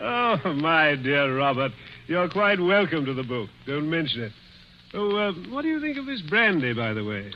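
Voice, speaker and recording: Gloating, swooping older villain. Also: male voice, fairly high pitch, sing-song, faint music behind. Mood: silkily genial. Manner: mocking, drawn-out, chuckling, menacing. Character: wealthy, gloating, sadistic older man taunting his guest. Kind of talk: 1940s radio suspense acting. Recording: muffled, hissy 1940s radio recording.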